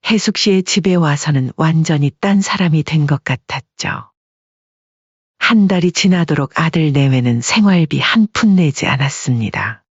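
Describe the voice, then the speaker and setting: A husky-voiced narrator with a medium pitch delivers scripted story narration. The recording is very dry and a little dull. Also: lively intonation, normal speed, no echo.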